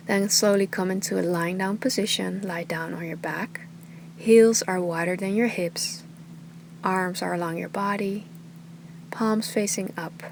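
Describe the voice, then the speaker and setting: Calm lady leading a guided meditation, soft n unhurried, medium pitch, goes breathy at phrase ends, bit of noise behind her. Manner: calm, soothing, unhurried, often trailing into breathy softness. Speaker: yoga and meditation teacher.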